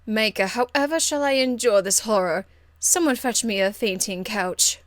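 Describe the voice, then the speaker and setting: A woman's voice: mid-range voice, normal speed, no room sound, natural voice. Haughty noblewoman mage for a fantasy game played by a woman: formal, condescending, icily courteous, dry close recording. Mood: weary disdain. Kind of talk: video game character acting.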